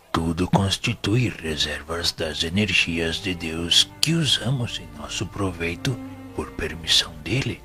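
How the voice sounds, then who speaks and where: A wise, fatherly spirit teacher with a Brazilian accent, deep and forceful. He lectures slowly and solemnly in formal oratory, as in an audio drama. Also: exaggerated voice. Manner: grave, didactic, formal oratory. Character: wise, paternal, solemn male spiritual instructor. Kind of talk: audio drama sermon-like monologue.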